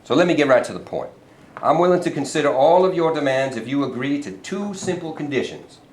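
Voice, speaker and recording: A man playing a pompous, scheming middle-aged governor in a staged reading, with a smooth, condescending manner. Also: on the high side, lively intonation, fairly quick, a little hiss or hum, lightly acted. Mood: smug. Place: performance hall. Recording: roomy hall from a distant mic.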